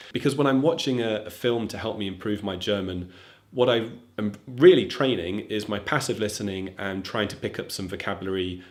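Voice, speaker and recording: A young man, a native English speaker, casually reviewing a film for German learners, thoughtful and chatty with frequent ums. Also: fairly low pitch. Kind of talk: casual talking-to-camera review.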